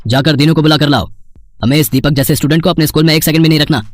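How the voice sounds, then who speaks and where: A man's voice: on the high side, fairly even intonation, rapid-fire, no echo, soft background music, natural voice. A man voicing a harsh, snobbish cartoon school principal who scolds with contempt. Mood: harsh, contemptuous.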